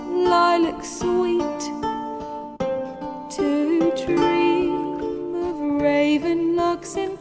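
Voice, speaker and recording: A woman's voice: very high voice, exaggerated voice. A woman performing as a young bard in a fantasy game, singing a tender, yearning love ballad over plucked strings. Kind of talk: slow lyrical folk ballad singing. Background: lute or guitar music.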